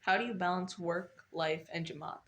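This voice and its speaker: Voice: medium pitch, normal speed, a bit bright. An interviewer with a female-sounding voice, asking prepared questions.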